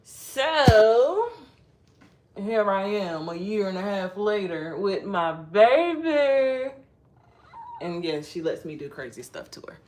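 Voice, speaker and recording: Shy, slow-talking chatty woman. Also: medium pitch, animated, darkish tone. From Massachusetts, United States. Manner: shy, rambling, chatty with frequent restarts. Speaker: livestream host. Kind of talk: casual live chat with viewers.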